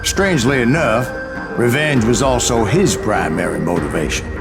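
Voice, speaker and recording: An actor playing an older, weathered bounty hunter in a Western game, telling his tales in a folksy, wry, measured way. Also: medium pitch, lively intonation, close to an everyday voice. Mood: grave, reflective. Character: older weathered male bounty hunter recounting his Old West exploits. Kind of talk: storytelling narration in a Western game. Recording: music behind the voice.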